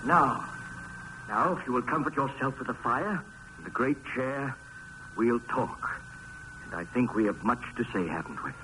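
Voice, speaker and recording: An actor playing an effusive, doomed gentleman host with a florid, theatrical manner in an old radio horror drama. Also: mid-range voice, lively intonation, moderate pace, close to an everyday voice. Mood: effusive, welcoming. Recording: hissy vintage 1950s radio recording.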